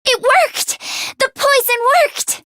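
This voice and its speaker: A woman voicing an earnest, squeamish teenage schoolgirl mechanic in an anime game, expressive and quick to exclaim. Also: extremely high pitch, no room sound, clean studio sound, heavily acted. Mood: alarmed, excited.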